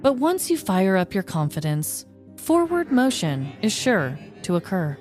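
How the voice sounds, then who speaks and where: A young adult woman co-hosting a podcast, chatting casually and telling a story, animated with quick laughing asides. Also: mid-range voice, faint music behind. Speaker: podcast co-host. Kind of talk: casual chat and scripted storytelling.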